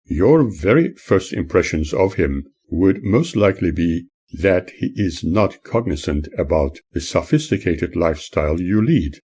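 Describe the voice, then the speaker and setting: A man reading scripted audiobook-style narration in a measured, earnest, oratorical way. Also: sits low, very animated, darkish tone, husky. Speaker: author reading own book.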